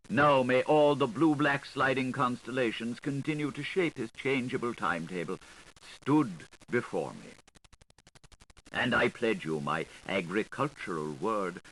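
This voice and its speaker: A man reading a literary novel aloud as an audiobook, with a lilting, highly varied, expressive delivery. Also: poor audio. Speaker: audiobook reader. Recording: faint tape hiss, 1980s recording.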